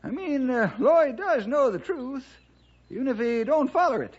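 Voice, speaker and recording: An actor playing a feisty, folksy old-timer sidekick in a radio drama, with a strained, excitable voice. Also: male voice, very high voice, normal speed, breathy edge, full character voice. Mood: indignant. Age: older. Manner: excitable, folksy, rustic grammar. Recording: dull, band-limited old radio recording.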